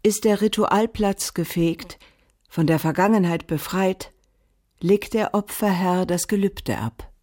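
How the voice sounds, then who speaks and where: Slow, mellow, solemn female Veda teacher. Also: on the low side. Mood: solemn, meditative. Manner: measured, incantatory, instructive. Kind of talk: ritual recitation in a radio drama.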